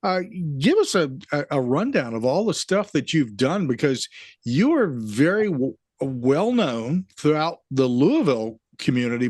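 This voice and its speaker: A man's voice: mid-range voice, dry recording, clean studio sound. A man hosting a podcast, asking his guest questions in a casual conversational style. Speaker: podcast host.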